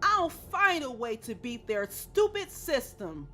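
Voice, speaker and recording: Storybook heroine dog, female, indignant, urgent, high and animated, played by a woman narrator. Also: slow, soft background music, heavily acted. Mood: defiant. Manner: exclamatory, urgent. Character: feisty female border collie, indignant born leader.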